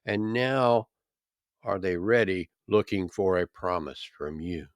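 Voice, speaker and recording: Slow, low-voiced man reading scripture. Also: no room sound, clean studio sound. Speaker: scripture reader. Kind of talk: solemn scripted Bible reading.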